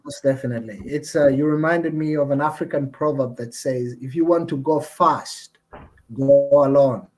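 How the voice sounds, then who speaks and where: A man hosting an online discussion over a video call, speaking in an earnest, appreciative and measured way. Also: medium pitch, darkish tone. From Uganda. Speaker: host and community representative.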